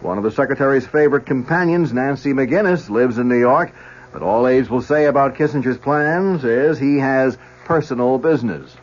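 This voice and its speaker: A man's voice: mid-range voice, moderate pace, dull audio. A man reading scripted 1970s radio news and announcements in a formal manner, on a vintage broadcast recording.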